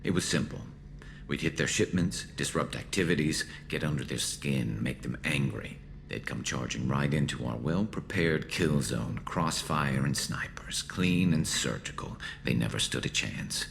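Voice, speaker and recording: A man voicing a battle-scarred alien soldier in a video game, loyal and dryly wry, with a gravelly voice. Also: normal speed, fairly bright tone, full character voice. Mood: grim, proud. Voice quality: gravelly, very deep. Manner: dry, wry, clipped. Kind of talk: video game dramatic acting.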